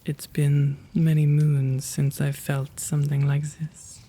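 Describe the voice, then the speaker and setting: A man playing a kind, courtly, old-world gentleman vampire in an intimate ASMR roleplay, with genteel, old-fashioned phrasing and bashful stammers. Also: fairly high pitch, completely flat delivery, slow. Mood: tender, courteous. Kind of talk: ASMR roleplay, intimate one-sided dialogue.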